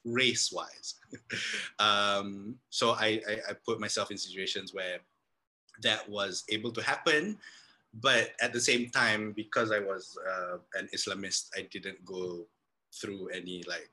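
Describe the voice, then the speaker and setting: A man with a Malaysian American accent, a guest thinking back in a casual podcast conversation, halting and restarting his sentences as he reflects. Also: fairly low pitch, steady tone, sharp tone, poor audio.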